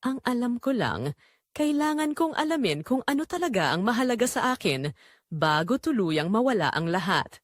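Young Filipino female narrator for dramatic romance story; emotive, reflective, lively pitch movement, close dry recording. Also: normal speed, dry recording. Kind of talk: dramatic scripted first-person romance narration.

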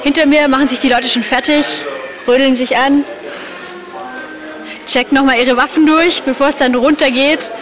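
A woman's voice: sits high, steady tone, moderate pace, pauses often, over music, natural voice. A woman playing an inquisitive field reporter in a post-apocalyptic live-action drama, reporting on the spot. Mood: tense reporting.

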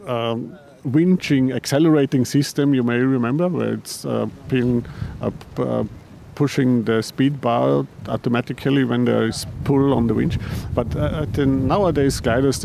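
A man who heads a paraglider company answering interview questions, halting, with frequent restarts and repeated words. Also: mid-range voice, creaky, background noise. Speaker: paraglider designer and company head.

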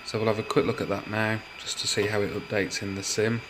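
A man giving a measured, methodical walkthrough of a hobby build, explaining it step by step. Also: fairly low pitch, level tone, noisy background. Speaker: hobbyist builder presenting his project.

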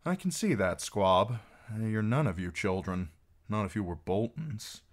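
A man's voice: on the low side, animated, measured pace. A man voicing a wry, genial, roguish wandering singer in an audiobook, teasing and wheedling as he draws out his words.

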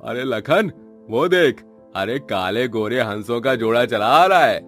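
A man's voice: medium pitch, animated, a little slow, fairly bright tone, faint music behind. A man voicing a gossiping, mocking villager in a cartoon, exclamatory and taunting.

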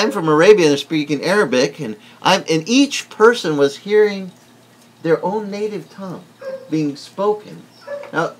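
A middle-aged man whose first language is Italian, preaching an animated teaching sermon indoors and asking the room questions. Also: a little high, a little hiss or hum, poor audio. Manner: animated, gesturing broadly, asks the room questions. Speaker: pastor. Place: wood-paneled indoor room.

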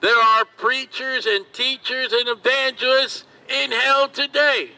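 Man, street preacher, very high and piercing, slow drawn-out open-air sermon. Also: steady tone, pushed and bright, clear, resonant tone, rough recording. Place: outdoors at a street market.

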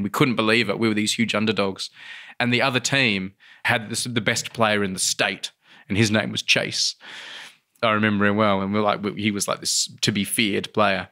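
Australian man, slightly low bright voice, enthusiastic, casual podcast storytelling. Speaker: podcast co-host. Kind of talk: casual anecdotal podcast chat.

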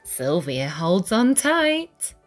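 Very slow, expressive woman storyteller. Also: lowish voice. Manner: deliberate, dramatic phrasing for young children. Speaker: storyteller reading a children's picture book. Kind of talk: expressive read-aloud storytelling. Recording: music behind the voice. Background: music.

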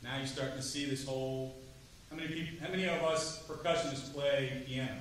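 A middle-aged man giving a drum clinic to students, chatty and lively, asking the room questions. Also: mid-range voice, fairly quick. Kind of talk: percussion clinic talk to students. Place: large rehearsal hall, standing before seated students. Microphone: far camera, no mic. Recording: roomy hall from far off, faint noise.

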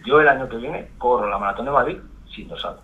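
A man's voice: mid-range voice, fast. A man from Spain on a telephone call, arguing in a defiant, insistent and repetitive way. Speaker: amateur runner receiving a prank call. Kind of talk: heated phone argument. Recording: dull lo-fi phone call.

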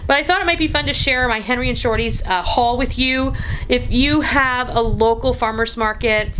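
A middle-aged woman with a fairly high voice talks casually and enthusiastically, like a home vlogger showing a haul. Her manner is folksy and chatty. There is noticeable noise in the room behind her. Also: moderate pace, fairly bright tone, low-quality recording. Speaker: home cooking vlogger and host. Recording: clear background noise.

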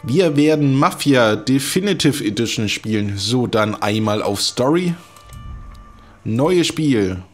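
Ein Mann kommentiert locker und mit trockenen Sprüchen ein Videospiel als Let's-Play-Host. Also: male voice, medium pitch, lively intonation, slow. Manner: chatty, wry asides while reading game menus. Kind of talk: casual gameplay commentary. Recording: talking over game music.

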